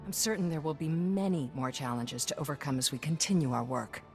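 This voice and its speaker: Formal, low-voiced female official. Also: fairly quick, darkish tone, big-room sound, faint music behind. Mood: gracious. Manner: formal, ceremonious. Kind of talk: video game character acting.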